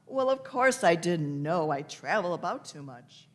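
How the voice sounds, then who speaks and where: A woman's voice: on the low side, very animated, measured pace. A woman playing an eccentric, merry old lady time traveller in a radio play, with grand old-fashioned phrasing and repeated words. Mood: amused, lofty. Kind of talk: live radio-play acting.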